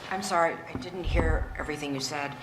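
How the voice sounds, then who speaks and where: Female board member, low pitch, probing and plain-spoken, formal meeting questions, noisy room sound. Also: brisk. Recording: echoey meeting room with clear background noise.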